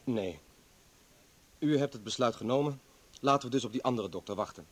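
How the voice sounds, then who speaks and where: An actor in a radio play playing a bitter, defiant doctor who was a political prisoner, arguing with sarcasm and indignation. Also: male voice, mid-range voice, fairly quick, slightly rough, lightly acted. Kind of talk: dramatic radio play acting.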